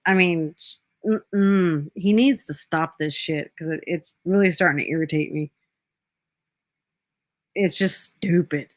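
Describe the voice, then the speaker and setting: Low-voiced woman host, blunt, laughs while she roasts people, dry close sound. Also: unhurried, pauses often, no echo. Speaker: stream host. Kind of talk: casual live-stream banter.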